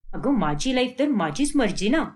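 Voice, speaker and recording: Woman voicing a vain, animated modern mother-in-law. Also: a little high, normal speed. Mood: indignant. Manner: boastful, argumentative.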